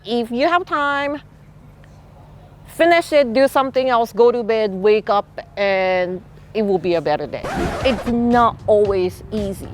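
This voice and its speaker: A woman's voice: on the high side, measured pace, a little hiss or hum. A middle-aged woman with a Japanese accent, a freelance illustrator speaking thoughtfully in an interview, often saying "you know" and "like". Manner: relaxed, thoughtful, frequent fillers like "you know" and "like". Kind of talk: interview-style talk to camera. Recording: soft music behind.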